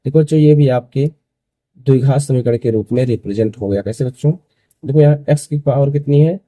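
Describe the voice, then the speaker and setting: A young man in his early twenties with a very dark, soft-edged tone and a close, dry sound. He teaches maths step by step at a medium pitch. He repeats key phrases and keeps posing questions, then answers them himself. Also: fairly quick, no room sound, poor audio. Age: early 20s. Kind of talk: step-by-step school maths lesson.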